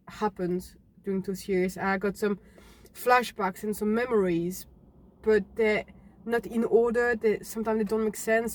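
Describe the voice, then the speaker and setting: A young woman from Belgium, telling her own story unscripted to camera in a halting, earnest way, often restarting words. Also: medium pitch, slight background noise. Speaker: fitness vlogger.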